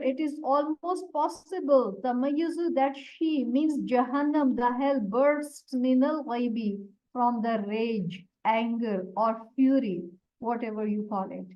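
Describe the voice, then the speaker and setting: A woman whose first language is Urdu, teaching a Quran lesson and explaining Arabic words one by one in a deliberate way. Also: sits high, a little mellow. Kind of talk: slow explanatory religious lesson. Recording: dull lo-fi recording.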